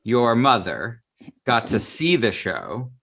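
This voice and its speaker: A man's voice: medium pitch, big pitch swings, slow pace, gravelly, fairly bright tone. A man hosting an informal interview, asking questions in a casual, rambling way. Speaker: interview host. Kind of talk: casual chat.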